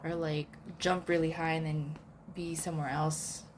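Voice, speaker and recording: A woman's voice: low-pitched, little pitch movement, moderate pace, slight background noise. A woman co-hosting a podcast in casual, playful, teasing chat. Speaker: podcast co-host.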